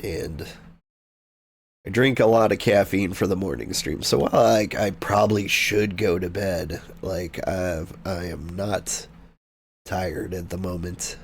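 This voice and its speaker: A hoarse, gravelly-voiced man chatting casually as he streams a game, rambling and thinking aloud. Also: low voice, unhurried. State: hoarse from being unwell. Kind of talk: casual gameplay commentary.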